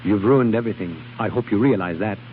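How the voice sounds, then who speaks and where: A man's voice: fairly low pitch, quick pace, natural voice. An actor playing a stern, proud, bitter man in a vintage radio drama, speaking in a clipped, formal, reproachful way. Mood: accusing. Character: stern, bitter, proud widower, coolly controlled. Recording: old radio broadcast, tinny band-limited, hiss.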